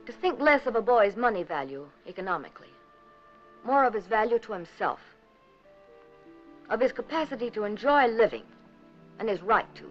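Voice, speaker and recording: A woman delivering scripted 1950s documentary narration in an earnest, measured, rhetorical manner. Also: a little high, sing-song. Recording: dull 1950s film soundtrack, music behind. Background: background music.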